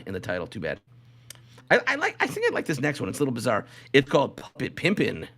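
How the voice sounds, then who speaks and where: A man co-hosting a comedy podcast in a loose, conversational style. He has a mid-pitched voice and rambles jokily, stopping to comment on whatever he reads out. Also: fairly bright tone. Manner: rambling, jokey, reads out list items with commentary. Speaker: podcast host. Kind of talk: casual comedic podcast chat.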